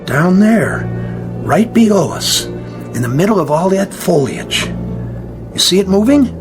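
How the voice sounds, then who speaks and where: An actor playing an earnest, wonderstruck airliner flight engineer with an emphatic delivery, in old-time radio drama style. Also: male voice, sits high, big pitch swings, slow pace, exaggerated voice. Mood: awestruck. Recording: dramatic music underneath.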